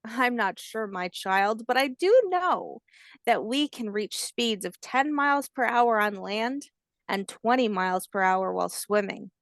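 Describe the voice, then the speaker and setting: A woman voicing a kindly, patient old grandmother alligator, telling facts in a gentle storybook manner. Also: a little high, animated, measured pace, dry recording. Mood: proud, instructive. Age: older.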